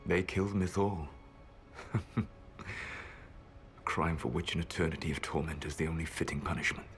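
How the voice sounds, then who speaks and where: An actor playing an ancient, secretive male elf mage who speaks in a measured, grave and regretful way. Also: rumbling low. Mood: solemn. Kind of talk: video game voice acting. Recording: game audio with music score.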